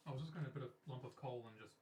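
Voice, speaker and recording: A man's voice: mid-range voice, rapid-fire, dark tone, slight background noise. A man chatting casually while playing a tabletop game. His voice sounds distant from the microphone, in a very echoey room. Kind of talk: casual chat during a live game.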